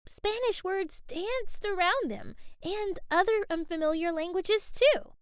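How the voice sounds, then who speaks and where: Woman reading a kids' book aloud, high and sing-song, slow, close and dry. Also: dry recording. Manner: expressive, carefully paced reading. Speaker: children's book reader. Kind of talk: read-aloud storytime narration.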